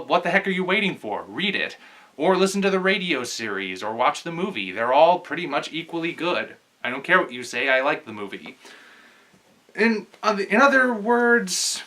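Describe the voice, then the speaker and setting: A man with a fairly high, bright voice presents and reads aloud to viewers. His pitch swings widely as he goes. The delivery is articulate and theatrical, like a keen amateur storyteller. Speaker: vlog host reading aloud from a novel. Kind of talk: book read-aloud with casual address to viewers.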